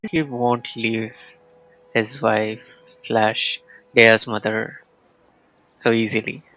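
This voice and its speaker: A man's voice: on the low side, level tone, drawn-out words, pushed and bright, dry recording, rough recording. A man streaming a game with casual, informal, off-the-cuff playthrough commentary.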